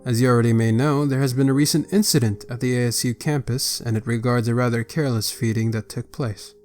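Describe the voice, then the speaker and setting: A man's voice: mid-range voice, fairly even intonation, fast talker, darkish tone, faint music behind, close to an everyday voice. A man playing a stern vampire father and leader in a scripted roleplay, speaking formally and with authority. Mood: grave, measured.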